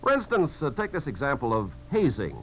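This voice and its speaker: Animated American man, old radio talk. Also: mid-range voice, brisk. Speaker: narrator of message about servicemen. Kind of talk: 1950s radio scripted talk. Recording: dull, hissy 1950s radio recording.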